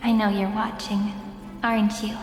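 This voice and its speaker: An actress playing a gentle, dreamy young heroine in a video game, speaking in a tender, poetic, solemn way. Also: female voice, mid-range voice, flat delivery, normal speed, natural voice. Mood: wistful, tender. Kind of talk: dramatic game voice acting. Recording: echoey long reverb under clear music.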